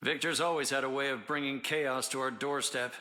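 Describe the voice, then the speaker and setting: A man playing a brainy, composed superhero team leader in a video game, speaking in a measured, formal, articulate way. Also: mid-range voice, steady tone, sharp tone, close to an everyday voice. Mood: composed, analytical. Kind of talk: video game hero banter.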